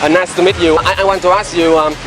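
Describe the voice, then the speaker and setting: A man with a Vietnamese accent interviewing people casually in halting, simple English. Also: high-pitched, fairly even intonation. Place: outdoors in a busy public area. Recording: noisy street with crowd and traffic.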